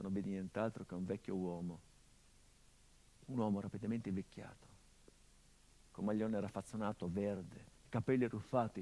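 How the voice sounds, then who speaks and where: A middle-aged actor playing a grieving son whose father was murdered, speaking a theatrical monologue in a measured, grave, introspective way. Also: fairly low pitch, a little mellow.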